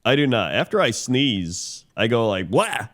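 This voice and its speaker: A man's voice: medium pitch, big pitch swings, unhurried, a bit bright. A man co-hosting a podcast, congested from a cold, telling a rambling story in casual chat with plenty of fillers. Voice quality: Muppet-like voice. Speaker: podcast co-host.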